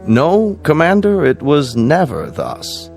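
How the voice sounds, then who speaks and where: An American actor playing a grave, paternal space-program scientist in a radio drama, speaking formally, deliberately and with emphasis. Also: male voice, a little high, huge pitch swings, creaky, darkish tone, heavily acted. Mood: grave, instructive. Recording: music behind the voice.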